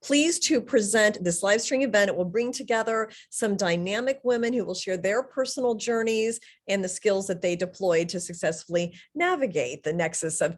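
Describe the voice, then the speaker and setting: A woman moderating a live panel webinar, upbeat and loose, with fillers and restarts. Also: mid-range voice.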